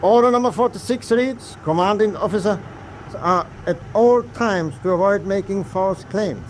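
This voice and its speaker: Actor as stern, formal German U-boat captain. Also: male voice, high-pitched, normal speed, natural voice. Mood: displeased. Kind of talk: wartime radio drama acting. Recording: clear vessel noise behind voice.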